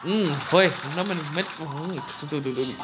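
A woman dubbing an excitable, bumbling male cartoon cat, full of grunts and exclamations. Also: sits low, a little fast, heavy background noise, lightly acted. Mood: excited, comic. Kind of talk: improvised comic cartoon dubbing.

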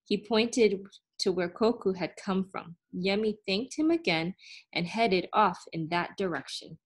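Slightly husky woman reading a storybook aloud. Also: mid-range voice, expressive, unhurried. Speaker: teacher reading a picture book aloud. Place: small room at home, on a sofa.

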